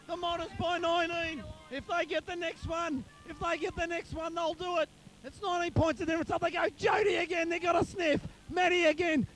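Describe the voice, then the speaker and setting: An Australian man giving excited, partisan live football commentary, shouting and repeating names and calls. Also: very high voice.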